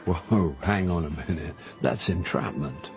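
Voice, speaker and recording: A world-weary British jobbing actor in middle age, with a lowish voice. He is dry and sardonic, forever undercutting himself, in the manner of a radio mystery's narrator-hero. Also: measured pace, soft background music. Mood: uneasy, defensive. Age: middle-aged. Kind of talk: radio drama acting, with first-person narration.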